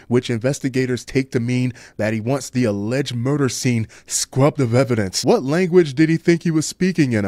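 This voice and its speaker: A man's voice: medium pitch, a little mellow, no echo. A man with an American accent reading crime news and commenting on it in a blunt, sardonic, slangy way.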